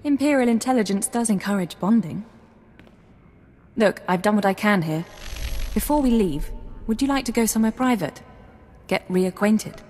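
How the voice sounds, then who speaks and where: An actress voicing a composed female intelligence officer who speaks in a measured, dry way, in a video game cutscene. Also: medium pitch, expressive, a little mellow, soft background music, lightly acted. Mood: tender. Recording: long hall reverb.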